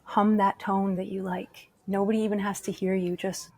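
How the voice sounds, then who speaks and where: A woman's voice: medium pitch, level tone, normal speed, a little mellow. A woman being interviewed as a guest at a live conference, explaining things earnestly with many casual fillers. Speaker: guest, yoga teacher and activist. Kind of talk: conversational interview.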